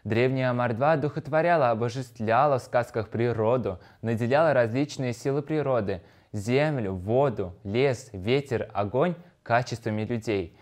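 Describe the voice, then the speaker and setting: Medium-pitched man presenting a lesson. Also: moderate pace, few pauses, a little mellow. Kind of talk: scripted educational narration.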